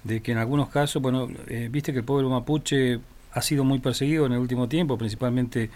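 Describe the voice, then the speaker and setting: A man's voice: mid-range voice, steady tone, brisk, darkish tone. Attentive Argentine male radio interviewer. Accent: Argentine Spanish. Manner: attentive, short acknowledgments, conversational questions.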